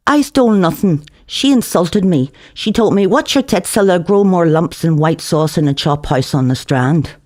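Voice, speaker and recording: Narrator acting defiant woman, high voice, cheeky, foul-mouthed, audiobook dialogue. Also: animated, moderate pace, hardly any pauses, a little mellow, close to an everyday voice.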